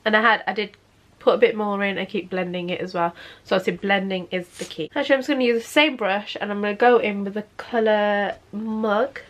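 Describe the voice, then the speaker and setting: A woman's voice: mid-range voice, fairly quick. Sniffly young woman, chatty. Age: 20s. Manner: chatty, keeps saying "obviously". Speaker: makeup vlogger. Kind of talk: casual makeup tutorial talk. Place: bedroom, sitting on a bed.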